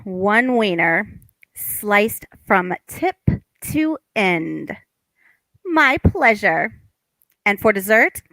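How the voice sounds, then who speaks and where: A woman's voice: medium pitch, very animated, extremely slow, pushed and bright, no room sound, heavily acted, poor audio. A woman reading the part of a young housewife, patient and drily sarcastic, at a table read of a stage comedy. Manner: wry, long-suffering.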